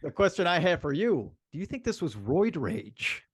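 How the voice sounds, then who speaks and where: A man's voice: on the high side, very animated, moderate pace, breathy edge, no room sound, clean studio sound. A man co-hosting a sports podcast in casual chat, speaking emphatically and repeating phrases for effect.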